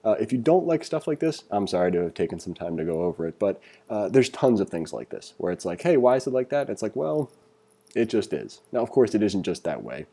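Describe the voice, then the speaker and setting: Chill guy doing a screencast tutorial, kinda low soft-edged mellow voice, thinks out loud, super conversational. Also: very animated, a little fast. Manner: informal, conversational, thinking aloud. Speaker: programming tutorial instructor. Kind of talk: casual screencast tutorial, reading along and commenting.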